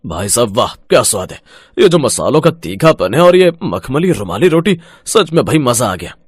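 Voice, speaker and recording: A man's voice: medium pitch, big pitch swings, moderate pace, husky, no echo, studio quality. A man playing a chatty, outspoken street-food customer in a moral story, with a familiar manner. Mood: delighted.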